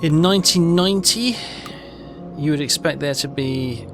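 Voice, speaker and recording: A man's voice: a little high, moderate pace. A man giving a casual game walkthrough, muttering and questioning himself as he thinks aloud. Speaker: game streamer. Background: game music.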